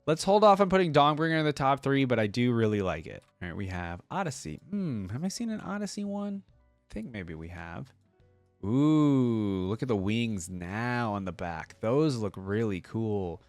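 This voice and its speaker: A man's voice: mid-range voice, big pitch swings, normal speed, dry recording, faint music behind. A man giving casual, informal reaction commentary, thinking aloud with exclamations as a video creator.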